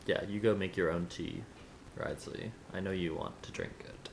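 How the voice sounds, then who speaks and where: A man streaming a video game, making laid-back, quiet asides. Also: low-pitched, steady tone, normal speed, gravelly, a little hiss or hum. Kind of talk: casual game commentary.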